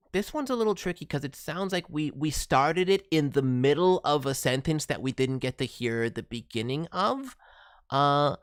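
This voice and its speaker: A man's voice: a little high, smooth. A man giving casual, thoughtful critique as a voice director, hedging as he talks. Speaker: voice director reviewing demo reels.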